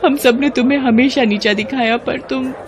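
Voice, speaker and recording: A woman's voice: high voice, steady tone, moderate pace, over music, voice pushed up. A woman voicing a vain, scheming elder daughter-in-law in a cartoon family melodrama, with a theatrical, wheedling manner. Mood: tearful, grateful.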